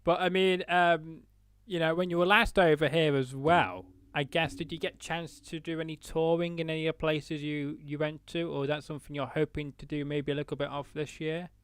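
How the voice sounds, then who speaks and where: A man hosting an interview, asking questions casually and filling pauses with "uh" as he reads through details. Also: fairly high pitch, flat delivery, clear, resonant tone, no room sound. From United Kingdom. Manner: friendly, lots of "uh" fillers while reading dates. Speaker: interview host.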